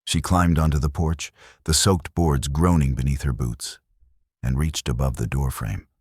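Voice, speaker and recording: A man narrating a scripted western story in a solemn, suspenseful, dramatic way with deliberate phrasing. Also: extremely low pitch, steady tone, a little mellow, breathy edge, dry recording, studio quality.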